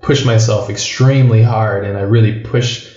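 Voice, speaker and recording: A man's voice: on the low side, steady tone, normal speed, a little mellow, reverberant, highs cut off. A man from Hawaii hosting a podcast, talking loosely in casual chat with many fillers and restarts. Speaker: podcast host.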